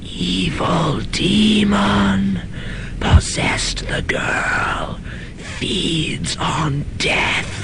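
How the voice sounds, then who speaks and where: A man playing an ominous ghost speaking through a possessed girl in a breathy, halting stage whisper. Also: exaggerated voice. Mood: ominous, urgent. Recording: rumbling, noisy college radio tape.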